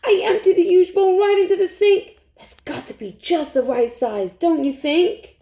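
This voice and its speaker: A woman storytime teacher reading a rhyming picture book aloud to young children in an expressive way. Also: very high voice, normal speed. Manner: expressive, hushed suspense, asks listeners questions.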